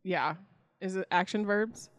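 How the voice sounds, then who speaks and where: A woman's voice: mid-range voice, measured pace, no echo, clean studio sound. A woman co-hosting a podcast in casual chat. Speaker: podcast co-host.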